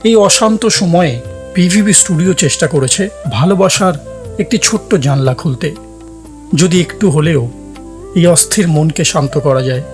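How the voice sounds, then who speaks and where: A man with a fairly high voice presents a story series in formal scripted narration. Music plays clearly behind him. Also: normal speed. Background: background music.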